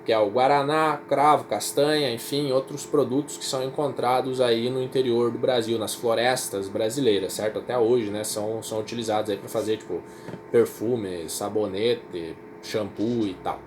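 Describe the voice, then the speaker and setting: A man's voice: medium pitch, steady tone, moderate pace, background noise. A Brazilian man teaching a history class in a casual, repetitive way, often checking in with his students. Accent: Brazilian Portuguese. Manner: casual, repeats himself, checks in with "certo?" and "tá?". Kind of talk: informal recorded class lecture.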